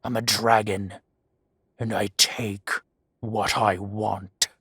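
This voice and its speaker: A man voicing a male dragon who poses as a mafia boss, speaking with slow, purring, mocking menace. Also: lowish voice, level tone, a bit bright, breathy edge, no echo, clean studio sound, full character voice. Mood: cold threat. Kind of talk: roleplay audio drama.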